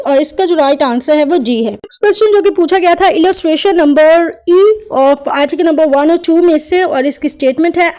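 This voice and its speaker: A woman reads law exam questions aloud as an online tutor, with a high, light voice. She takes each line slowly and carefully, giving the options and the right answer one by one. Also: a little mellow. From Pakistan. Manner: slow, deliberate reading of legal text, brisker between questions.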